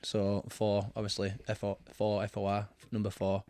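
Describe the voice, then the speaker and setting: A man in his thirties with a Barnsley accent, a guest in a casual interview, talking in a relaxed, rambling way with many ums. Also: on the low side, moderate pace, clean tone, no echo. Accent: Barnsley, Yorkshire. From England. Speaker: guest, football manager. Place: cluttered home studio, indoors. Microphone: studio mic with headphones.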